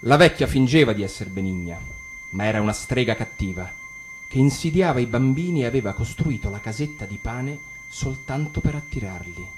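A man reading a fairy tale aloud on the radio, expressive, sinking his voice low and quiet for the sinister parts. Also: brisk. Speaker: narrator. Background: guitar music.

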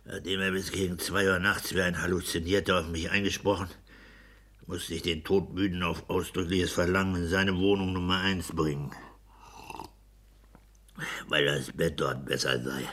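A man's voice: low voice, steady tone, moderate pace, a bit bright. A man playing a grumbling, pompous petty-bourgeois husband in a 1960s German radio play, scolding and bluntly self-important.

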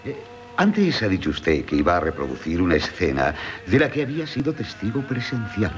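An actor playing a reasonable, sceptical gentleman in a Spanish radio play, formal and slightly stammering, with a Castilian accent. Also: sits low, huge pitch swings, fairly quick. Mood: probing, dry. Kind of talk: 1970s Spanish radio theatre acting. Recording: 1970s radio drama, faint music.

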